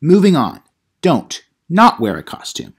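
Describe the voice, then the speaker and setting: A man's voice: on the high side, huge pitch swings, a little slow, creaky, breathy edge, pitched above the performer's own voice, full character voice. A man playing a cheeky, self-important teenage vlogger who gives mock how-to advice in an audio comedy. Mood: smug, instructive. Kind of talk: comedic how-to vlog monologue.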